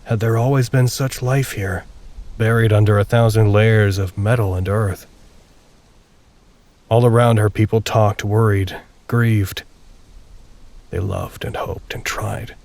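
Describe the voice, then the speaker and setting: A man narrating a literary drama podcast as a solemn, reflective storyteller, speaking in a deliberate way. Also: on the low side, steady tone, darkish tone. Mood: wistful, solemn. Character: solemn, reflective male storyteller of a bleak tale. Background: music with guitar.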